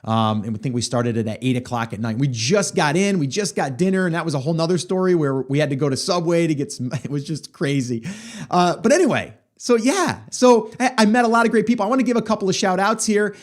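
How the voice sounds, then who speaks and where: A middle-aged man hosting a podcast, telling a story in a chatty way with lots of fillers, his voice hoarse. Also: a little high, expressive, fast. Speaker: podcast host. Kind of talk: casual solo podcast monologue.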